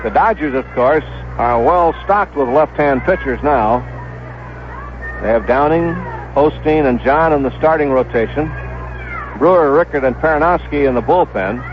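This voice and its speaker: A man's voice: on the high side, highs cut off, background noise. A man with an American accent calling baseball play-by-play on a 1970s radio broadcast. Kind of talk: live radio sports commentary. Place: stadium broadcast booth.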